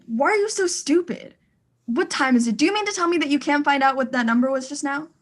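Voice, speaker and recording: Frantic society wife, played by an actress, very high voice, haughty and demanding, radio thriller. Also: female voice, fast talker, lightly acted. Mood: indignant, insistent. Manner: indignant, demanding, stammering in agitation. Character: imperious, frantic, fretful well-to-do married woman.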